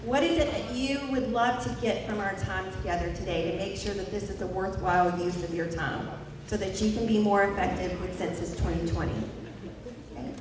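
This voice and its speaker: A woman's voice: medium pitch, fairly quick, noisy background. A woman with a Southern US accent leading a workshop, upbeat and instructive, carefully repeating each step for the group. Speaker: workshop facilitator. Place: large meeting hall with many tables. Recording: echoey hall from far off, busy crowd.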